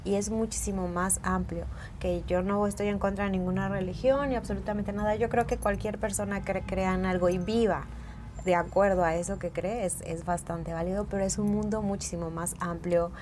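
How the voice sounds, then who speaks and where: A woman's voice: medium pitch, steady tone, a little fast, background noise. A woman with a Mexican accent hosting a podcast, friendly and enthusiastic, fond of diminutives. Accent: Mexican Spanish. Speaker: podcast host. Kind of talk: casual podcast interview.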